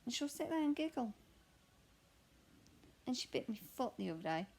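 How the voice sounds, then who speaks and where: A young woman with a croaky voice, chatting candidly and rambling while she stitches at home. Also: on the high side, big pitch swings, moderate pace, darkish tone. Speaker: cross stitch vlogger and mother. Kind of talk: casual chat answering a question tag while stitching.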